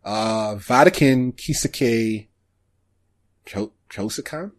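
A man hosting a podcast, chatting casually about shows in a halting, hesitant way. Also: fairly low pitch. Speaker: podcast host.

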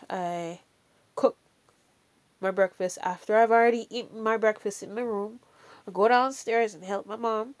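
A woman's voice: medium pitch, darkish tone. A woman with a Jamaican accent giving a casual, earnest faith talk. She speaks emphatically, repeats key phrases for weight, and often adds "you know" and "all right".

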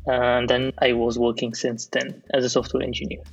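A man in his thirties from Egypt, a software developer speaking as a podcast guest in a measured, thoughtful way, often pausing to rephrase. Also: mid-range voice, completely flat delivery, gravelly, lo-fi sound, soft background music. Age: 30s. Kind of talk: casual interview.

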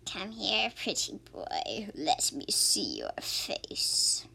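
A woman voicing a creepy old grandfather villain in a breathy whisper for an amateur radio drama. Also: medium pitch, wildly swooping pitch, drawn-out words, bright, forceful tone, full character voice, low-quality recording. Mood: menacing, creepy.

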